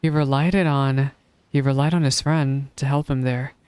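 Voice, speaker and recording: A man's voice: mid-range voice, steady tone, normal speed, a little mellow, smooth, no room sound. A man giving casual, chatty game reaction commentary as a streamer, musing aloud. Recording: faint game music behind.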